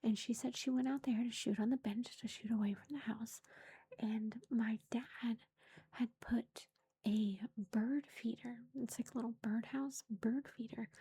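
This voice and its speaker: Woman vlogger, whispering a chatty story, mellow and breathy. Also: on the high side. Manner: whispering throughout, chatty with fillers. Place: indoors at home. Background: lawnmower faintly outside.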